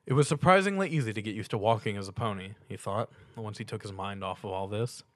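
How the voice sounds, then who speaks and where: A man's voice: on the low side, fast, fairly bright tone, no room sound. A man narrating a scripted fan fiction story as a dramatic reading.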